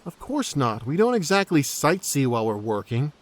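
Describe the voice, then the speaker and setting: A man's voice: medium pitch, sing-song, normal speed, natural voice. A man playing an earnest, cautious and polite cleric in a fantasy audio drama. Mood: earnest, concerned.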